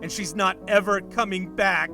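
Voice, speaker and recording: A man's voice: high-pitched, steady tone, normal speed, creaky, over music. An actor playing an anguished, paranoid middle-aged father in an audio drama, weary and insistent. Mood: weary, grieving.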